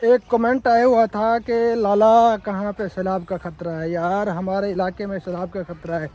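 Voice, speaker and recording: A man's voice: high voice, fairly even intonation, moderate pace, a little mellow. A man, chatting casually to viewers while filming himself outdoors in a village. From Pakistan. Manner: chatty, pious, showing things to viewers. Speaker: vlogger. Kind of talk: casual village vlog narration. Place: outdoors in rural fields and a village courtyard. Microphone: phone held at arm's length.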